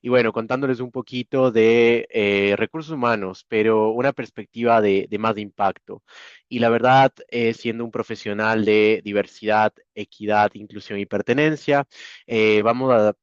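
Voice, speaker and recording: A man with an Ecuadorian accent presents informally on a video call. His voice is fairly low and very smooth, and he hesitates often as he talks. The sound is dry and a bit dull. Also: steady tone, no echo. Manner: halting, many hesitations. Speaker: guest speaker, human resources professional. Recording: dry, slightly dull online-call audio.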